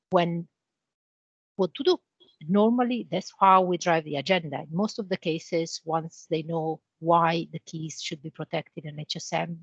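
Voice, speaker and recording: A woman with an Italian accent, a guest in a business interview, explaining with homely comparisons in a measured way. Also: fairly low pitch, breathy edge, no room sound, dull audio. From Italy. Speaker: interview guest, channel manager.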